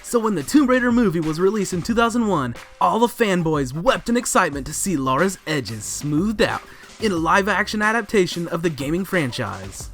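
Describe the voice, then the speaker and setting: Male countdown co-host, higher register, lively swings, exaggerated comic scripted read, faint music underneath. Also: normal speed. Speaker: co-host of a movie countdown show. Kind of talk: scripted comedic countdown narration.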